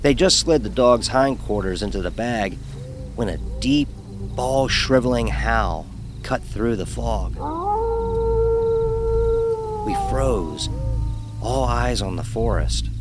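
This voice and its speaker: Wry male horror narrator, medium pitch. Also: lively intonation, slow, over music. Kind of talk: first-person horror story narration.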